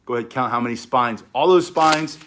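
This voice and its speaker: A middle-aged man teaching stick self-defense in an energetic, chatty way, with short punchy phrases. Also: mid-range voice, a little fast, a little hiss or hum. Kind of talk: casual instructional demonstration.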